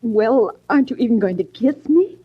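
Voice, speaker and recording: An actress playing a scheming, falsely sweet gold-digging wife, gushing and theatrical in a 1940s radio drama style. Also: female voice, on the high side, lively intonation, moderate pace, breathy edge. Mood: romantic, greedy. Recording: muffled, band-limited 1940s radio broadcast.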